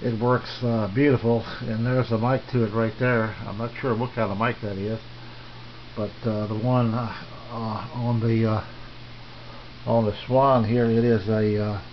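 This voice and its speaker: A middle-aged or older man giving a casual, unscripted tour as a hobbyist, speaking in a halting, unhurried, plain way. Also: medium pitch, flat delivery. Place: small home radio shack, indoors. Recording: dull lo-fi camera audio, faint noise.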